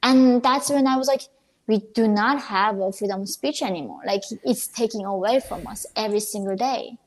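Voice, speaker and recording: A woman speaking as an interview guest, earnest and a little halting, restarting her sentences. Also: on the high side, animated, low-quality recording. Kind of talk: conversational interview.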